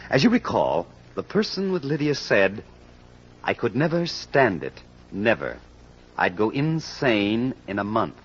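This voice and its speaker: An actor playing a wry, unflappable amateur sleuth, calm and playful, in old radio drama style. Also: male voice, lowish voice, unhurried, slightly rough, heavily acted. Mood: explaining. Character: wry, unflappable male amateur sleuth who dotes on cats. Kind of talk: 1940s radio acting. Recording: muffled vintage radio recording.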